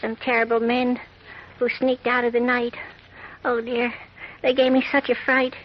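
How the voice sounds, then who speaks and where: A woman playing a small, gentle, doleful creature who groans in pain and speaks in rhyme in an old radio drama. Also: fairly high pitch, little pitch movement, a little slow, natural voice. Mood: frightened, doleful. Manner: speaks in rhyme, woeful and plaintive. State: in pain, weak. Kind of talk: 1940s radio acting. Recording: dull noisy old radio recording.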